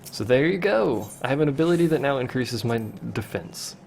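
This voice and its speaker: A man's voice: mid-range voice, animated, fairly quick, creaky, darkish tone, a little hiss or hum. A young man casually commenting while playing a game on a livestream, answering chat with wry asides. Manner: chatty, reads chat questions aloud, wry asides. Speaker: let's play streamer.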